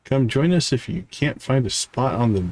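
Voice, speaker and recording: A man's voice: medium pitch, animated, normal speed, darkish tone. A man livestreaming a game, reading character dialogue aloud with stumbles and short asides. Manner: reads on-screen text aloud with stumbles and asides. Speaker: game streamer.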